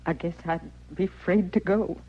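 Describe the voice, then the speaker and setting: A woman's voice: on the low side, fairly even intonation, normal speed, close to an everyday voice. Woman acting tired stubborn frontier widow, rustic american talk, old radio western, dull muffled sound. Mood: grieving, resolute. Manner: plain rustic speech. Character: weary, stubborn young prairie widow, worn by hardship. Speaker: actress in a radio drama.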